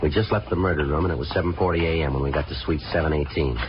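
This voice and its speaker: Noisy vintage radio cop show: a male detective, extremely low voice, quick, terse, businesslike and courteous. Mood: businesslike, deadpan. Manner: clipped, deadpan, polite. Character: businesslike, unflappable male police homicide detective. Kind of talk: 1950s radio police drama, narration and dialogue. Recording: dull, noisy 1950s radio recording.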